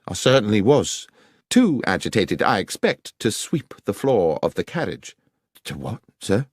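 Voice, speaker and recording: Guy playing a nervy earnest old-time railway worker in an audiobook, super respectful but defensive, pitch jumps around. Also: very animated, unhurried, no echo, clean studio sound. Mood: puzzled, defensive. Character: weary, earnest working railwayman, deferential and anxious.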